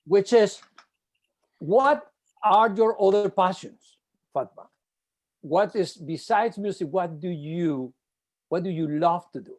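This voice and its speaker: A man's voice: sits high, takes it slowly, pauses often, darkish tone. A man hosting an interview, effusive and rambling, with many restarts. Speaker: ensemble director and host. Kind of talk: enthusiastic interview chat.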